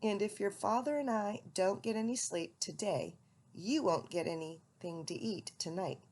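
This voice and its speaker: A woman reading aloud, voicing a patient but tired mother barn owl in a calm, firm tone. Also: mid-range voice, moderate pace, natural voice.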